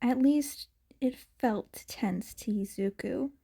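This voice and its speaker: Woman, fanfiction audiobook reader, very slow, soft and measured, fairly high voice. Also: vocal fry, breathy edge. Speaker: podfic reader.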